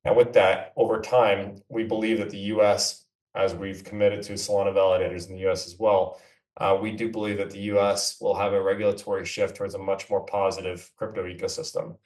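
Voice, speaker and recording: A man's voice: lowish voice, little pitch movement, brisk, darkish tone. A man from New York City, a company co-founder, explaining at length in a businesslike podcast interview. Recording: echoey room, far from mic.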